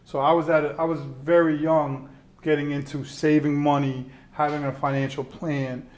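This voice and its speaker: Earnest man guest, slightly high voice. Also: level tone, moderate pace, a little mellow. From Colombia. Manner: emphatic, earnest storytelling. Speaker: podcast guest, entrepreneur. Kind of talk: casual interview chat.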